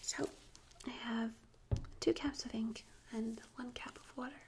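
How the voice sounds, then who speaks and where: Soft whispering woman, close-up ASMR. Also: on the high side, steady tone. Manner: slow, gentle, unhurried instructions. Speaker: ASMR performer playing a tattoo artist. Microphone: close binaural stereo microphone. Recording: close binaural recording with audible noise.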